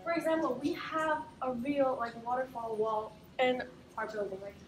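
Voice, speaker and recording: A woman's voice: high-pitched, fairly even intonation, normal speed, over music. A young woman from Nepal and Tibet giving a casual, chatty, emphatic campus tour as a student guide. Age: young adult. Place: indoor hallway and classroom. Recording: echoey room, distant mic, faint noise.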